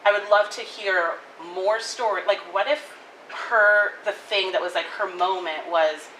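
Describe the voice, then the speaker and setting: A woman gives feedback in a casual, animated way, her voice bright and a little low. She sounds far from the mic, and the room echoes around her. A faint hum sits behind her. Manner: animated, fast, many "like" fillers, laughing. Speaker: audience member giving feedback. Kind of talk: casual spoken critique at a live table reading. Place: coffee shop event room.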